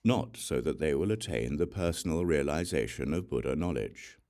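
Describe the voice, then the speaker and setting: A man reading scripture as audiobook narration, solemn, measured and deliberate in his delivery. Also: very deep voice, lively intonation, darkish tone. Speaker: audiobook reader.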